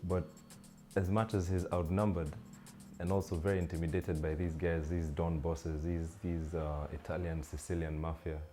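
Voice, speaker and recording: A young man from Kenya talks in a very low, dark voice that stays level. He is thoughtful and pauses to search for his words, with faint music behind him. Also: little pitch movement. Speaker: student actor. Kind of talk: interview.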